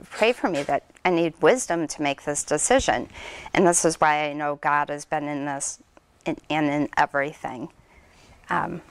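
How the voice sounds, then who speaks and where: Low-voiced woman giving earnest church testimony. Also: no echo. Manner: earnest, emotional, speaks from a lectern. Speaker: church member sharing testimony. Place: small church sanctuary. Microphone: handheld microphone.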